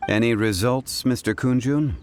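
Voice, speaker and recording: A man playing a composed, wise and dignified consultant in a video game, speaking in a formal, measured, authoritative manner. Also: medium pitch, a little hiss or hum, close to an everyday voice. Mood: calm, curious. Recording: game music behind the voice.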